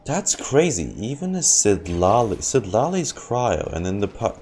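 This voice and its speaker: A man's voice: on the low side, sing-song, measured pace, a little mellow, slight background noise. A man giving casual live commentary as a game streamer, rambling and repeating his words and phrases. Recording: game music behind the voice.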